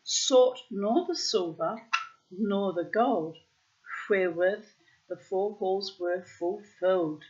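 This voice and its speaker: A woman's voice: medium pitch, slow pace, darkish tone, highs cut off, natural voice. A woman reading the lines of an earnest young son answering his mother in formal archaic speech.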